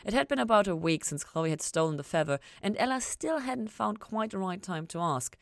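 Female podcast reader for prose fiction, animated intonation, dry recording. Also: mid-range voice, brisk, no room sound. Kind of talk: expressive story narration.

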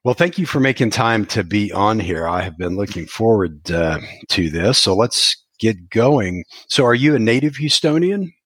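Deep husky guy hosting an interview pod, dry close mic. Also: expressive, fairly bright tone, no echo. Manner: friendly, measured, with uh fillers. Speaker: podcast host.

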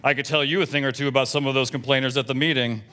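A man's voice: mid-range voice, fairly even intonation, racing, sharp tone, lightly acted. Man reading as a blunt, gossipy old church lady. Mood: aggrieved, gossipy. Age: seventies. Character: nosy, self-righteous Southern church lady in her seventies. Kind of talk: comic reading of emails aloud.

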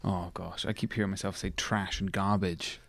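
A man's voice: low voice, little pitch movement, normal speed, gravelly, a bit bright. Dry, joking man hosting. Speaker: podcast host. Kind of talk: casual interview chat.

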